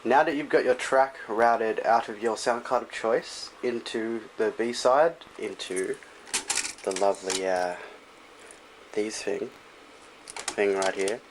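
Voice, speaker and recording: Casual man presenting over clear background noise. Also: lowish voice, slow pace. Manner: offhand, loose, informal. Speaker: tutorial presenter. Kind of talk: casual hands-on tutorial chat. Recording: noisy home recording with clicks.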